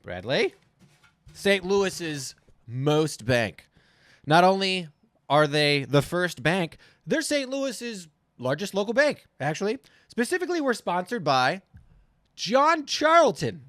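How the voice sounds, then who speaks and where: Guy hosting a show, kinda high lively voice, very dry sound, presenting tongue in cheek. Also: takes it slowly, no room sound. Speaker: show host reading sponsor spot and introducing acts.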